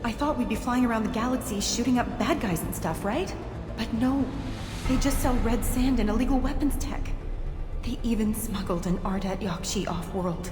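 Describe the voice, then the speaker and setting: A woman plays a green young alien mercenary in a sci-fi game, naive and eager to be spared. Her voice is high and girlish, echoing over music. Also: moderate pace, close to an everyday voice. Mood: nervous, naive. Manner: earnest, girlish, pleading. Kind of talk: video game voice acting. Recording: echoey reverberant hall, music behind.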